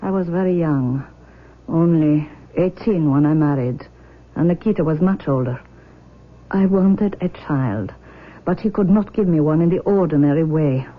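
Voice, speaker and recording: An actress playing an intense, imperious forty-year-old Russian mother in a 1970s radio drama, speaking in emphatic, deliberate declarations. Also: sits low. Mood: fervent, intense. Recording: muffled vintage radio broadcast recording.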